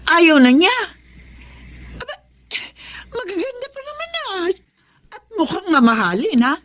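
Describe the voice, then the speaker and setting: A woman's voice: extremely high pitch, wildly swooping pitch, a little slow, fairly bright tone, exaggerated voice. A woman playing a kindly but doubtful middle-aged mother in a Filipino radio drama. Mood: surprised, curious.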